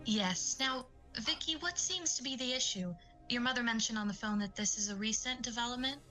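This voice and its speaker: A woman playing a polite, measured eye doctor in an audio drama, professional and tactful. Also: a little high, pushed and bright, faint music behind, low-quality recording.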